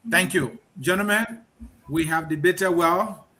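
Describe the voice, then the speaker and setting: A man moderating a panel debate, briskly handing out turns. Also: high voice, over a noisy crowd.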